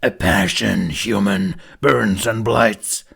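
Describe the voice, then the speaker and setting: A man voicing a gargantuan, wrathful female dragon demon in an audiobook, hoarse and roaring, declaiming in slow, drawn-out verse. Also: on the low side, fairly even intonation, fairly bright tone, exaggerated voice. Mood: wrathful, taunting.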